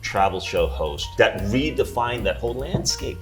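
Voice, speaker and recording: A man's voice: mid-range voice, lively intonation, loud music behind the voice. A man hosting a talk show who asks chatty questions, teases his guest and often restarts his sentences. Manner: chatty, teasing, halting restarts, bursts of laughter.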